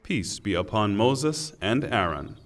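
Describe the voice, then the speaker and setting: A man reading a scripture translation in a solemn, formal, deliberate way, with pauses. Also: fairly low pitch, very animated. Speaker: translation reader. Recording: long hall reverb.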